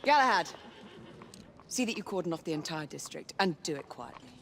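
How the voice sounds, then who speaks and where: A woman's voice: on the low side, animated, brisk, creaky, background noise. An actress playing a poised young noblewoman knight in a video game, commanding and teasing. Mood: composed, teasing.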